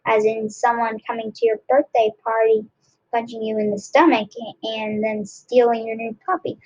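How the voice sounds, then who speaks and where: High-voiced, unhurried woman reading a story. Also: a little mellow, muffled recording. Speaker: read-aloud narrator. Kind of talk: expressive children's book reading.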